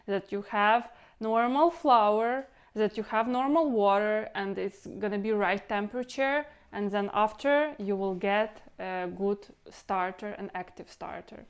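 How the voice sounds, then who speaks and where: Clear-voiced woman with a Ukrainian accent. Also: mid-range voice, a little slow. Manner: rambling, repeats words, non-native phrasing. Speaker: home cooking channel host. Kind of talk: casual instructional vlog talk.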